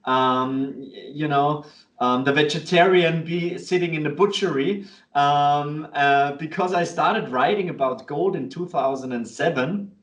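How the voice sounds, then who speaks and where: A man from Vienna with an Austrian accent, speaking as a guest in a casual interview and often pausing with fillers and restarts. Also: a little high, fairly bright tone, echoey room, far from the mic. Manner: hesitant, many fillers and restarts, laughs easily. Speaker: guest, fund manager.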